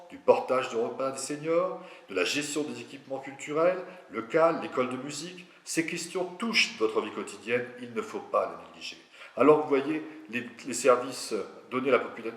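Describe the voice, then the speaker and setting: A man's voice: medium pitch, fairly bright tone. A man giving a prepared political address as a local politician, speaking in a formal, didactic manner. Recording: echoey room.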